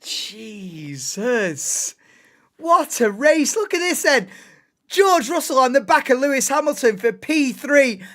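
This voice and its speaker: A woman hosting a live race commentary stream, excitable and bursting into shouts and laughs, her voice going hoarse. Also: high-pitched, takes it slowly. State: losing voice, hoarse.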